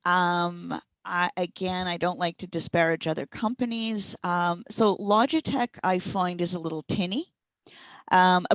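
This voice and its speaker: A woman speaking as a consultant in an interview, explaining things informally with careful, measured wording. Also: fairly low pitch, dry recording. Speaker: speech recognition consultant and trainer being interviewed. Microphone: wireless headset. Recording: dull, band-limited internet call audio.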